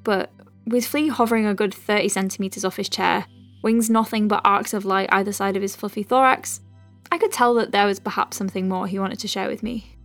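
A woman acts as a conscientious female doctor in an audio drama, recording case notes at a medium pitch. She moves between clinical dictation and gentle, chatty asides, with music underneath. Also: lightly acted. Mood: concerned. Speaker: lead character, physician.

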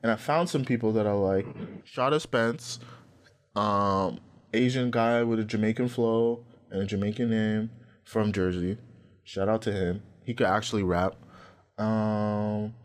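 A man's voice: fairly low pitch, fairly even intonation, unhurried. A man hosting a podcast in casual chat, rambling with lots of fillers and swearing. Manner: rambling, profane, filler-heavy. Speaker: podcast host.

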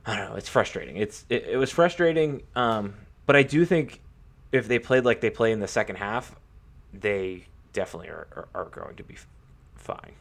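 Low-voiced man in casual sports chat. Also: animated, creaky. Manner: halting, informal, repeats and restarts phrases. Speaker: podcast co-host.

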